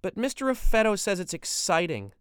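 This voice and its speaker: A man voicing a giggly, pleading teenage girl in a read-aloud story, pitching his voice high and bubbly. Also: big pitch swings, brisk, clear, resonant tone, no echo, clean studio sound. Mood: protesting.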